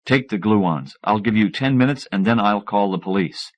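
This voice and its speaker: A man's voice: fairly low pitch, normal speed, natural voice. A man voicing a haughty, scornful male professor with a pompous, sarcastic delivery in an audiobook. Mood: grudging. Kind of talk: acted audiobook dialogue.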